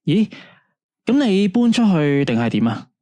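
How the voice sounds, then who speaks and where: Late-night radio host with a Hong Kong Cantonese accent, unhurried, soothing and dry-sounding, played by a man. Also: high-pitched, big pitch swings, warm tone, no room sound. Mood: calm. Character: male late-night radio phone-in host, calm and advising. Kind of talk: radio drama acting.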